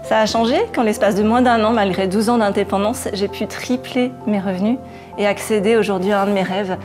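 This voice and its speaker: A woman's voice: medium pitch, fairly even intonation, fairly quick. Woman in her forties over music. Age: 40s. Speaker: self-employed beautician giving a testimonial. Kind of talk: promotional testimonial.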